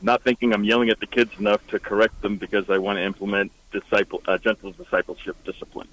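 A young man calling a radio show from a car by the roadside, speaking haltingly with many fillers. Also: on the low side, level tone, fairly bright tone, no room sound, rough recording. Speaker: caller. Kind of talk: phone-in call.

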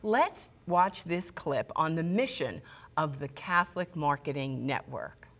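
A woman's voice: low-pitched, lively intonation, a little slow, heavy background noise. A woman speaking as a talk show host, introducing and questioning guests in a conversational way. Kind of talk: conversational interview hosting.

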